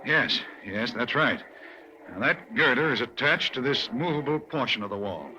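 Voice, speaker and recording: An actor playing a nervous male crook who explains a hidden tunnel wall in halting, hesitant speech, in an old radio drama. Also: medium pitch, expressive, sharp tone. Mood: reluctant. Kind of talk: 1940s radio acting. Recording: dull lo-fi old radio recording, faint hiss.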